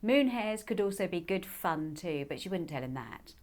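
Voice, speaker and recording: Woman, children's author narrating, slightly low pitch, very lively intonation, scripted storytelling. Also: normal speed. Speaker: author reading own children's book.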